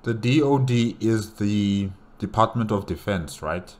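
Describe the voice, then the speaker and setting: Very deep-voiced man reacting. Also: a little slow. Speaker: reaction host. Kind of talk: casual reaction commentary.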